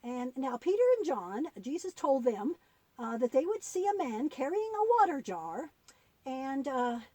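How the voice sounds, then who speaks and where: A kindly old granny puppet, played by a woman, tells children a Bible story in a high, animated voice. She is patient and gently instructive, pausing as she goes, and the sound is close and dry. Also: no room sound, low-quality recording.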